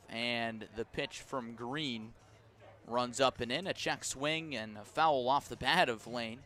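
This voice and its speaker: A man calling live baseball play-by-play as a radio announcer, measured between pitches and lifting with the action. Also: a bit bright. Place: outdoor ballpark broadcast.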